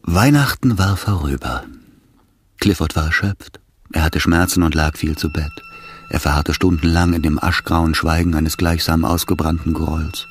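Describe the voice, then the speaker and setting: A man narrating a literary radio drama in a measured, solemn manner. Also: rumbling low, breathy edge. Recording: orchestral music behind the voice.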